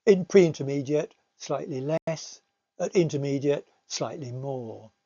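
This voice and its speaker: A middle-aged man giving a slide presentation as a teacher, explaining in a measured, somewhat hesitant way. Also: a little high, dark tone. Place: recorded voice-over for slides. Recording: slightly dull, lo-fi recording.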